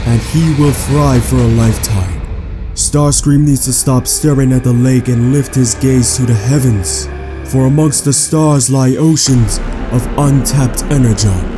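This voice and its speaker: A cold, clinical robot scientist, acted out by a man, dictates log entries in a mid-pitched male voice over music. The delivery is formal and exact, with a condescending villain's air, in the style of a sci-fi audio drama. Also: lightly acted. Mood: calculating. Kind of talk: scripted audio log monologue, fan-made sci-fi drama.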